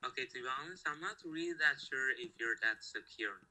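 Casual guy gamer, very bright sharp tone, halting and unsure, restarts a lot. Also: mid-range voice, low-quality recording. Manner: hesitant, halting, many restarts. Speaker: gamer playing with a friend. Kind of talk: casual gaming chat.

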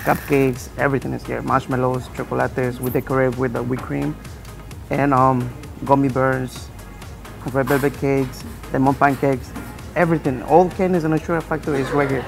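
A man, a chef, loudly and enthusiastically listing what goes into a dessert. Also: mid-range voice, little pitch movement, normal speed, creaky, over music. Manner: loud and enthusiastic, listing items. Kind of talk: describing a dessert. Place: restaurant table indoors.

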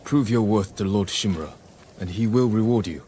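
A man's voice: fairly low pitch, moderate pace, warm tone, a little hiss or hum, close to an everyday voice. A man playing an earnest, determined young lord of the samurai in a game drama, speaking with formal restraint. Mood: earnest, persuasive. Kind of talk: cinematic game drama acting.

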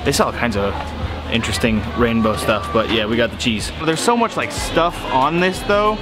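A man's voice: medium pitch, expressive, moderate pace. A man in his twenties, a travel vlogger chatting casually to a camera held at arm's length on a busy shopping street. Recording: busy street noise with loud music.

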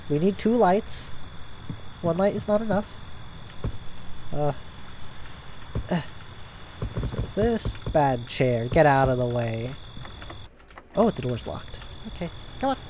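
A young man with a stuffy nose, chatting and reacting out loud to scares as he plays a horror game at home. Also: fairly high pitch, expressive, slow pace, pauses often, a little hiss or hum, poor audio. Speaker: gaming YouTuber. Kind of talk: horror game let's play commentary. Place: home gaming room, indoors.

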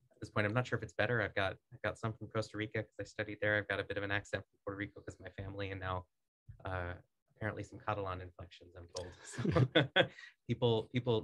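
Podcast guest, man in his thirties, low voice, quick and articulate, studio boom mic. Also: a bit bright, poor audio. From United States. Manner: measured, articulate, many "um"s and restarts. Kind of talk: casual interview talk. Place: small acoustic-foam podcast studio.

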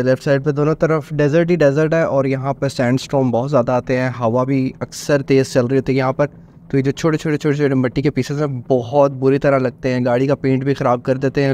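A man in his thirties, a motorcycle vlogger, chatting in a relaxed way while riding on a highway and mixing English words into his talk. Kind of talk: casual travel narration while riding. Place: on a motorcycle on a desert highway, outdoors. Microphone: helmet or action-camera mic. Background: faint wind and engine noise.

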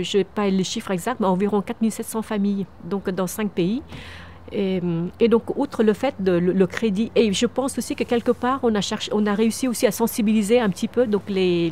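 Earnest woman from Vietnam, mellow voice. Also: mid-range voice, a little hiss or hum. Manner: earnest, many restarts and repeated words. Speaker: founder of a microcredit charity. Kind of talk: interview answers. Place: outdoors on a wooden terrace among trees.

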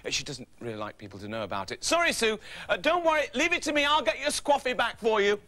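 A man's voice: medium pitch, wildly swooping pitch, quick pace, pushed and bright. A man playing a friendly, flustered presenter in a children's puppet show, explaining things to viewers.

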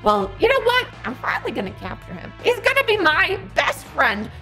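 A woman's voice: huge pitch swings, moderate pace, pushed and bright, faint music behind, full character voice. A woman voicing an entitled, bossy Karen villain who is whiny and demanding. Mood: gushing. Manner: whiny, shrill, demanding, bursts into squeals and cackles. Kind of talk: Roblox roleplay skit voice acting.

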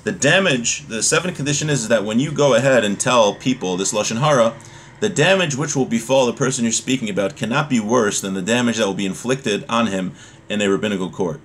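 A man's voice: mid-range voice, a little fast, fairly bright tone, slight background noise. A man in his thirties giving an informal religious lesson, reading from a text and explaining it in a hesitant way. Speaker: religious teacher. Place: small home room, indoors.

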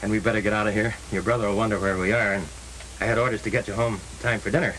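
An actor playing a polite, guarded young American chauffeur in a 1930s film, with a hissy soundtrack. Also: male voice, on the low side, steady tone, very fast, fairly bright tone, lightly acted, low-quality recording. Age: young adult.

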